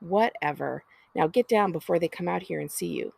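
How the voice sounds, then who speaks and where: Woman acting impatient teen big sister, storybook character, scolding, sardonic, gravelly edge, dry sound. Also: lowish voice, normal speed, darkish tone, no room sound, full character voice. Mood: exasperated. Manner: scolding, exasperated. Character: impatient, bossy, sardonic teenage older sister. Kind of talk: children's storybook character reading.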